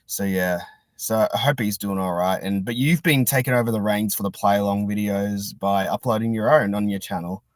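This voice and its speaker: A man's voice: on the low side, steady tone, normal speed, no room sound. Rambling male podcast host, dry sound. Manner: rambling, repeats and restarts phrases. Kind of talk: casual chat interview.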